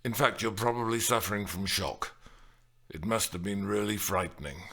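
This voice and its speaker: A man voicing an earnest, apologetic and mildly wry man in audiobook dialogue, measured and placating. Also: lowish voice, creaky, fairly bright tone. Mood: concerned.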